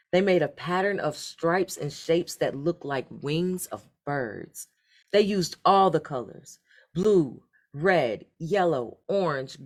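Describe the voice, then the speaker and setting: A woman reading a children's story aloud in an expressive, deliberate storytelling manner. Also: sits low. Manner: animated, deliberate read-aloud delivery. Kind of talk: expressive scripted storybook narration.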